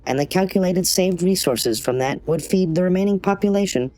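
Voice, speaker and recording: A man voicing a formal, emotionless artificial intelligence in a sci-fi audio drama, polite and measured. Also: high voice, darkish tone, no room sound, natural voice. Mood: detached, explanatory. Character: polite, detached trauma-assistance artificial intelligence serving its company.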